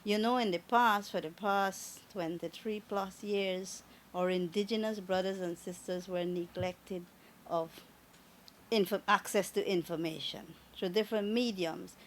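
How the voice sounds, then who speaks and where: A woman from Guyana with a Guyanese accent, speaking as a government minister in a radio interview in a measured, formal way. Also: mid-range voice, steady tone. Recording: radio studio with faint noise.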